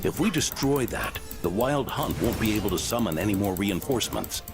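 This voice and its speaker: Lowish, solemn guardian warrior. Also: male voice, expressive, brisk, background noise. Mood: grave. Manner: formal, courteous. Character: solemn, formal male warrior of a guardian order. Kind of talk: video game voice acting.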